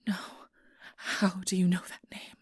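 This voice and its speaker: A woman's voice: a little slow, dry recording, clean studio sound, lightly acted. Woman acting a whispery, possessive, manipulative fairy. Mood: rattled, pleading. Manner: whispery, commanding, swinging to pleading outbursts. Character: possessive, manipulative female fairy, imperious and desperate. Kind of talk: ASMR audio roleplay.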